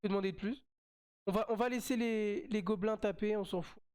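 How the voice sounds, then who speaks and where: A man's voice: high voice, steady tone, brisk, darkish tone, clear, resonant tone. A man with a French accent and a slight cold, commentating his own mobile game live as a streamer, chatty and repeating himself as he plays.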